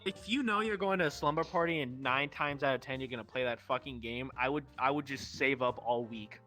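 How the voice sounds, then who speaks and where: A man's voice: medium pitch, a little fast, sharp tone, soft background music. A young man hosting a casual group chat, joking with friends in a crude, profane way. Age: young adult. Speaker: host of a group chat show.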